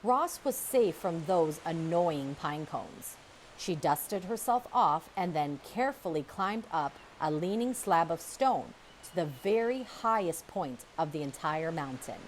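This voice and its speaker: Female storybook reader, lively pitch swings, deliberate dramatic pacing, faint hiss behind the voice. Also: rough recording. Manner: expressive, measured storytelling with dramatic pauses. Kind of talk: children's audiobook read-aloud, scripted narration.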